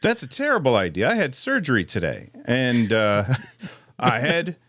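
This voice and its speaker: A man hosting a live podcast in casual chat, a little hoarse, rambling and self-deprecating with frequent restarts. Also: mid-range voice, huge pitch swings, moderate pace, fairly bright tone, no echo, studio quality. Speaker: podcast host.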